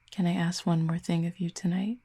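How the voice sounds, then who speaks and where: A woman playing a wry, tender, guarded Cupid in an intimate audio roleplay, with soft, breathy, husky delivery and gentle, slightly formal phrasing. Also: lowish voice.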